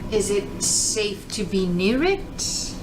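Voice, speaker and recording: A woman's voice: medium pitch, big pitch swings, slow. A woman playing a tabletop game, chatting casually with the group. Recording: distant mic, busy group table.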